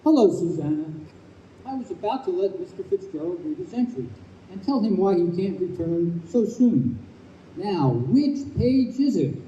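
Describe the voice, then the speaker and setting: Casting: male actor as officious gatekeeper of heaven, high lilting voice, very soft-edged tone, radio play. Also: very animated, normal speed, dull audio. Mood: measured, regretful. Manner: officious, formal. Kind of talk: old-time radio play acting. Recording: echoey noisy live stage, distant microphone.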